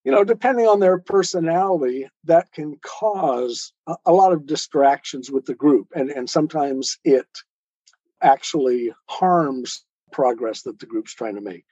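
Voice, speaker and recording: Mellow-voiced man explaining, slightly dull sound. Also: on the high side, measured pace, slightly rough, dry recording. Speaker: guest author, storyteller and podcaster. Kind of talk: conversational interview answers.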